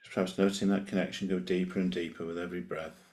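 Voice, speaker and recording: Male meditation guide, low register, gentle unhurried delivery with plenty of space between lines. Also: level tone. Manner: gentle, unhurried invitations with long pauses.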